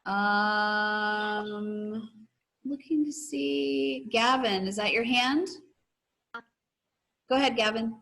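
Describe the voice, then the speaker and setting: Woman, slightly high voice, primary teacher, encouraging, read-aloud class delivery. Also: drawn-out words, gravelly, pauses often, a bit bright, poor audio. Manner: encouraging, checking in with pupils. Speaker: teacher reading aloud and leading class discussion. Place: online video class. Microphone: video call.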